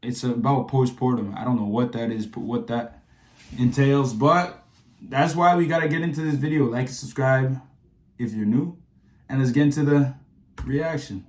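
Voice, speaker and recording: A man's voice: mid-range voice, moderate pace, a little mellow, echoey room. A man hosting a reaction video, chatting in a slangy, laid-back and easygoing way. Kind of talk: casual reaction commentary.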